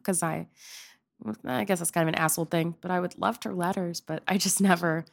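Chatty young woman with vocal fry. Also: lowish voice, fairly even intonation, fairly quick. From Pennsylvania. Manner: chatty and rambling, with many filler likes. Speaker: guest, opera-trained improv performer. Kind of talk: casual interview chat.

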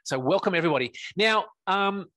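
A man's voice: high-pitched, lively intonation, brisk, bright, forceful tone, no room sound. A man hosting a casual live online interview, chatty, with stop-start phrasing and repeated words. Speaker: host of a webinar success-story show. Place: live video call online.

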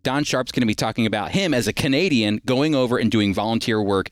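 A man's voice: medium pitch, fast, no echo. A man hosting a radio program, presenting introductions and interviewing a guest. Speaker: radio show host and Bible teacher.

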